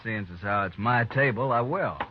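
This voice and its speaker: A man's voice: lowish voice, moderate pace, a little hiss or hum, close to an everyday voice. A man playing a sly, smug, quarrelsome ranch foreman with a mocking manner in a radio western. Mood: insolent. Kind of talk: old-time radio western acting. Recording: muffled old radio recording.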